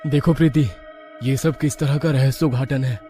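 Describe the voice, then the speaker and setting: A man playing a determined young adventurer in a scripted audio story, earnest and deliberate. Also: mid-range voice, steady tone, warm tone, soft background music, close to an everyday voice. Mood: triumphant.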